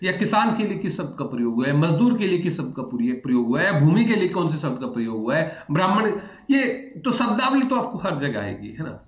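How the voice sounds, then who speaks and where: A man teaching in a conversational lecture style, repeating phrases and addressing his students directly. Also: fairly high pitch. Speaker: history teacher for exam aspirants. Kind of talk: explanatory online lecture in Hindi with English terms. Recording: echoey room, dull muffled audio.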